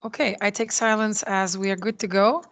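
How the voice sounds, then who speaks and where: A woman's voice: medium pitch, steady tone, a little fast, fairly bright tone. A woman moderating an online meeting, politely calling on participants and apologizing for technical trouble. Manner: polite, apologetic, brief laughs. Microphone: video call.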